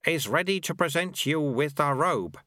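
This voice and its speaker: A man's voice: fairly high pitch, lively intonation, normal speed, pushed and bright, dry recording, studio quality, pitched above the performer's own voice. A man voicing a wily old male martial arts master who teases and bosses his pupil. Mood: sly teasing. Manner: sly, teasing, bossy.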